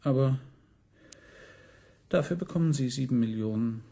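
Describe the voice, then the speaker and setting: A man playing a shrewd, deferential court adviser in a radio drama, with a raspy, gravelly voice. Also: mid-range voice, normal speed, mellow. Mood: sly, persuasive. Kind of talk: German radio drama acting.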